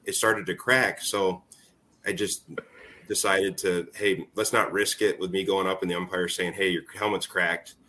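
A man's voice: low-pitched, level tone, normal speed, sharp tone, a little hiss or hum. A man in his forties, a former ballplayer, speaking casually as an interview guest and telling stories. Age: 40s. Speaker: former college baseball player, interview guest.

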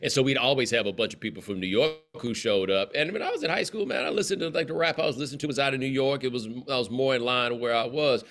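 A man's voice: mid-range voice, fast, hardly any pauses, a bit bright. A man in his forties with a Southern American accent, a guest telling stories in a casual, idiomatic, emphatic way. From southern United States. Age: 40s. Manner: expansive, idiomatic, emphatic storytelling. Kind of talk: casual conversation.

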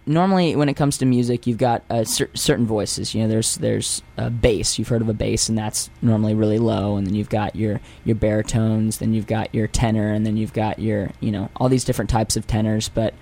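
A man's voice: lowish voice, fairly even intonation, a little fast, creaky, hardly any pauses, mellow. A young man, a singer and guest on a radio show, talking casually in a rambling way with lots of fillers and self-deprecating jokes. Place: radio studio.

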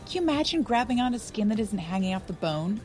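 A woman's voice: mid-range voice, very animated, fast talker, a little mellow, natural voice. An actress playing a brash, middle-aged married woman who talks bluntly and teasingly in a naturalistic audio drama. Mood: brash, lustful. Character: brash, bawdy, sarcastic married woman out with friends.

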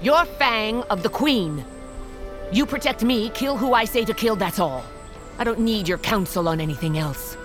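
A woman's voice: mid-range voice, expressive, normal speed, lightly acted. A woman playing a proud, battle-hardened warrior queen in an audio drama, with a curt, commanding manner. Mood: stern, angry. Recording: dramatic music behind the voice.

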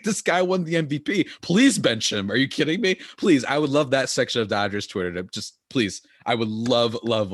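A man co-hosting a casual sports podcast, animated and quick to laugh, often mimicking fans in exaggerated voices. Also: mid-range voice, no room sound, studio quality.

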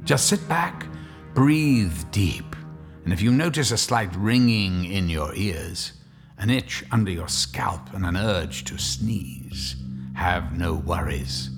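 A man's voice: sits low, animated, slow pace, exaggerated voice. An actor playing a sly, sinister male horror-show host who teases the listener in a mocking tone. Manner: mocking, teasing, drops into a whisper. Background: music.

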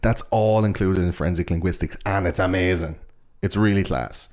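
A man's voice: low voice, normal speed, a little mellow. A young man with an Irish accent, chatting casually and explaining things in an enthusiastic, rambling, sweary way.

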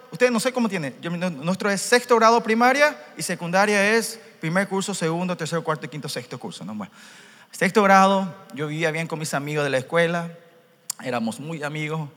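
A young man with a Paraguayan Spanish accent talks to a youth rally, his voice pitched a little high and bright. He speaks in a relaxed, chatty way, ending phrases with a questioning tag. The sound carries a long reverberant hall tail. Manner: conversational, tags lines with "¿no?".

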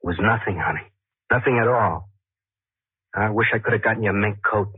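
An actor playing a volatile, manipulative ex-boyfriend in a 1940s radio drama, slangy and swinging between coaxing and bullying. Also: male voice, on the low side, fairly quick, husky, no echo. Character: volatile, manipulative male ex-boyfriend, wheedling then menacing. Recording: tinny, muffled old 1940s radio recording.

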